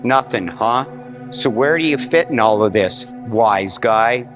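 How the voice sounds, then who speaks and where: A man acts out a cool, commanding 1940s gangster boss in a noir radio parody. He gives clipped, threatening orders in hard-boiled slang at a medium pitch, with music faintly behind him. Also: lively intonation, measured pace, lightly acted. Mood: impatient, threatening.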